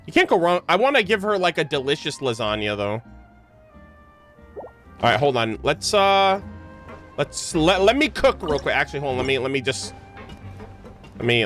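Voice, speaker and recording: A man doing casual, slangy live game commentary, excitable and swearing freely, sometimes reading game text aloud. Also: medium pitch, moderate pace, long pauses, faint music behind. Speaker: game streamer.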